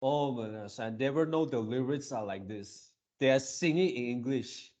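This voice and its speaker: A man hosting a casual fan reaction video, gushing excitably in halting, drawn-out phrases. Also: medium pitch.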